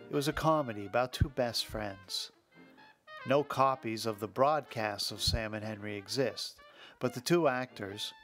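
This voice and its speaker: A man's voice: medium pitch, a bit bright. A man hosting and narrating a scripted documentary. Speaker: podcast host, narrator. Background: music.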